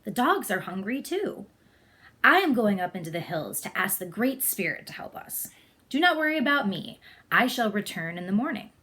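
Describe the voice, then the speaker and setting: A woman's voice: medium pitch, sing-song, moderate pace, sharp tone, husky, full character voice. Woman voicing an earnest, pleading young boy. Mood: sad, resolute.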